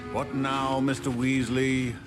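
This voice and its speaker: A man acting a stern, dry older potions professor in a video game, with a British accent and measured, sardonic delivery. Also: medium pitch, flat delivery, over loud music, natural voice.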